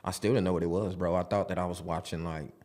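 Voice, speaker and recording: A man's voice: sits low, steady tone, darkish tone. A man of forty, a podcast guest, telling his story openly in a studio with frequent restarts. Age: 40s. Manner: open, storytelling, halting restarts. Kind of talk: casual studio interview.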